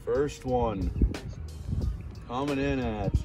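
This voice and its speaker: A man's voice: medium pitch, very slow, music in the background. Laid-back young man hosting a fishing vlog. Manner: laid-back, laughs often, joking understatement. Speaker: fishing channel host. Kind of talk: casual outdoor vlog narration. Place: on a boat on a lake, outdoors.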